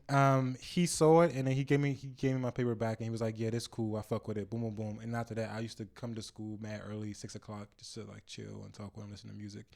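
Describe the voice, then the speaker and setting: A man's voice: mid-range voice, darkish tone. A young man, a podcast guest whose first language is not English, chatting casually in a loose, slangy, profane way with lots of fillers. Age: young adult. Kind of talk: casual chat.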